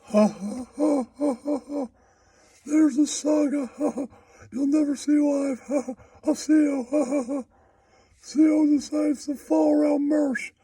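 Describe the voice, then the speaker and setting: A very high, squeaky puppet voice, gleeful and taunting. It keeps breaking its lines with cackles, in a crude comedy puppet show. The sound is dry and close. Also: male voice, fairly even intonation, measured pace, dark tone, dry recording. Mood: gleeful mocking. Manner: punctuates speech with repeated cackling.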